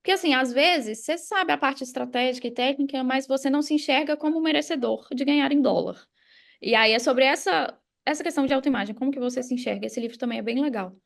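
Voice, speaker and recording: Brazilian female coach, high and creaky voice, casual explanatory interview delivery. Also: fast. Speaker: podcast guest, money mindset coach.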